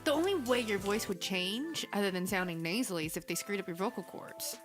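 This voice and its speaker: A woman's voice: medium pitch, a little fast, music in the background. A woman co-hosting a casual podcast chat, teasing and matter-of-fact. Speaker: podcast co-host.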